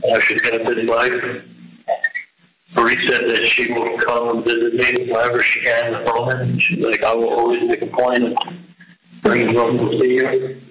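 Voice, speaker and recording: A middle-aged man speaks in a flat, even voice over a jail phone line with clear noise and echo. His casual talk rambles and halts as he goes. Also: mid-range voice, normal speed. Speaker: jail inmate on a phone call. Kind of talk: casual private phone conversation. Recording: noisy, echoey recorded jail phone call.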